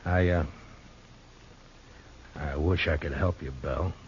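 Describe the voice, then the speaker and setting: An actor playing a stoic, plain-spoken frontier marshal in a radio western, laconic and sometimes halting. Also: male voice, very deep voice, fairly even intonation, a little hiss or hum. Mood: awkward, gentle. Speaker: lead actor and narrator. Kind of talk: 1950s radio western acting. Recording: dull old radio recording with music.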